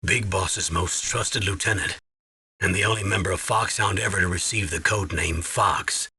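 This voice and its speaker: Deep, grave military colonel. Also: male voice, steady tone, normal speed, sharp tone, slightly rough, exaggerated voice. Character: grave, authoritative older male military colonel. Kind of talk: dramatic video game voice acting.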